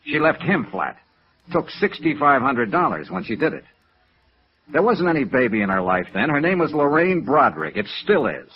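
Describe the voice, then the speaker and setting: Actor as animated, world-weary American radio detective. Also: male voice, medium pitch, normal speed, close to an everyday voice. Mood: firm, pressing. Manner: clipped, matter-of-fact, pressing. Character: world-weary, persistent male insurance investigator narrating his case. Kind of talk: 1950s radio noir acting and narration. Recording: dull vintage radio recording.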